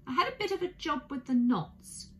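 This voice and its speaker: A woman's voice: sits high, lively intonation, moderate pace, a little hiss or hum, pitched above the performer's own voice. A woman voicing an earnest, polite, apologetic young bear in a children's storybook reading. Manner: earnest, courteous, eager to please.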